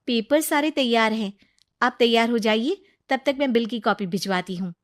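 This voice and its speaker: A woman voicing a brisk, respectful young hospital nurse in a narrated story. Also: on the high side, natural voice.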